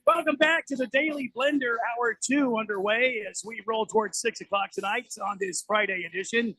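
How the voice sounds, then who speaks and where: A man's voice: extremely high pitch, moderate pace, bright, forceful tone, no echo. A man hosting a live sports talk radio show, chatty and full of hesitant fillers and restarts.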